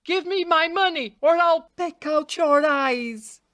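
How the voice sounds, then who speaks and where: A woman's voice: very high-pitched, slow pace, fairly bright tone, dry recording, clean studio sound, voice pushed up, full character voice. A woman voicing a little male sparrow in a storybook, an insistent, pert haggler. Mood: angry. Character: male talking sparrow, eager little haggler turning fierce.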